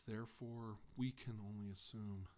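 A middle-aged man playing a grave scientist giving a hushed, hesitant deposition to an official in a quiet ASMR role-play. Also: fairly low pitch, steady tone, moderate pace, natural voice. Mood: grave, measured. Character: grave scientist briefing a navy official after captivity.

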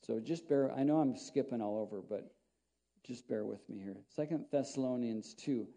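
A man's voice: mid-range voice, normal speed, gravelly, dark tone. A middle-aged man, a pastor, preaching a sermon in a small church and reading scripture aloud in a conversational, rambling way with frequent restarts. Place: small church sanctuary, indoors.

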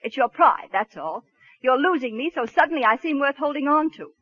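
An actress playing an exasperated, sharp-tongued, hurt wife in a 1940s radio drama, scolding and indignant. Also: female voice, high-pitched, animated, fairly quick. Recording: muffled 1940s radio broadcast.

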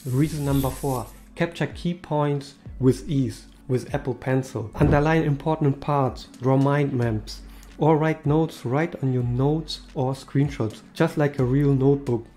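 A man's voice: medium pitch, measured pace, warm tone, soft background music. A man in his thirties whose first language is not English, talking to camera in a small room in a casual, upbeat way with slightly non-native phrasing. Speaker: tech video host.

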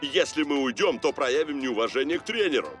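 A man's voice: fairly high pitch, lively intonation, fairly quick, lightly acted. A man voicing an earnest young football player in a cartoon dub. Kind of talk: animated cartoon dub acting. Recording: background music over dialogue.

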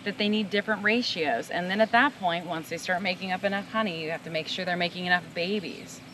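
A middle-aged woman, a mixologist, chatting excitedly outdoors on a farm, recorded by a far camera. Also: fairly low pitch, fast, noisy background. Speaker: mixologist and co-host. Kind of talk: casual chat.

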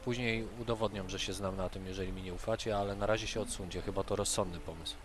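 A man's voice: deep voice, fairly even intonation, fast talker, music in the background. A man playing a tabletop role-playing game, speaking for his character and describing his actions.

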